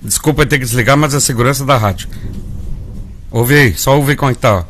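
A man's voice: mid-range voice, fast talker, fairly bright tone, slight background noise. A Brazilian man hosting a live gospel radio show, folksy and calling his listeners family. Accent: Brazilian Portuguese. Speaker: radio host.

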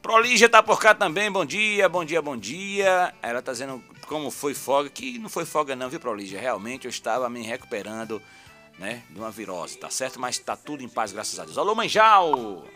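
A man with a Northeastern Brazilian accent from Bahia chatting colloquially as a live morning radio host, slightly hoarse. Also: medium pitch, animated, normal speed, pushed and bright, faint music behind. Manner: colloquial, seeks agreement with tag questions. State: slightly hoarse from a recent virus. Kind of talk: casual live morning radio chat with listeners.

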